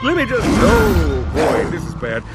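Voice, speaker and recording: A game's pompous has-been star hollering for rescue from some alien pit, very high, swooping, over loud music. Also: male voice, wildly swooping pitch, measured pace. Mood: panicked bluster. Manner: bombastic, hammy, pleading. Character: vain, blustering, cowardly space celebrity in a panic. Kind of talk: comic video game cutscene acting.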